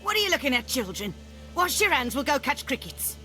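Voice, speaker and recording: Eccentric old swamp granny, swooping, sharp. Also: female voice, high voice, sing-song, brisk, faint music behind, exaggerated voice, low-quality recording. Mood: dismissive. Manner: rambling, scolding, rustic speech. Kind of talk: video game acting.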